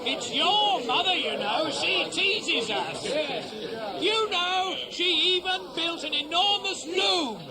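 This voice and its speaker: Very high, sneering, arrogant suitor. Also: male voice, expressive, slow pace, over loud music, exaggerated voice. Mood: sneering, indignant. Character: mocking, arrogant male suitor, sly and insolent. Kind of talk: 1970s radio drama acting. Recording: noisy, roaring crowd, cassette-era radio play.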